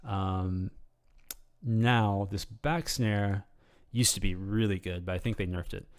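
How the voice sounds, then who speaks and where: A man with a slightly hoarse voice giving an unscripted, rambling game tutorial, full of ums and restarts in a relaxed, casual way. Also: on the low side, takes it slowly. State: slightly hoarse, losing his voice. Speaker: game guide video creator.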